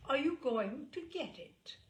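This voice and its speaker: A woman's voice: high voice, expressive, unhurried, voice pushed up. A woman voicing a stern, sarcastic older woman in a storybook reading, cutting, emphatic and formal. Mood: sarcastic, scornful.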